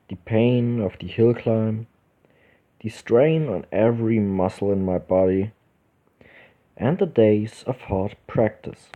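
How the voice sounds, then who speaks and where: A man from Germany with a German accent hosting a casual let's play, reading visual novel text aloud haltingly and correcting himself as he goes. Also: lowish voice. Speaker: gaming video host. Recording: dull lo-fi microphone, music behind.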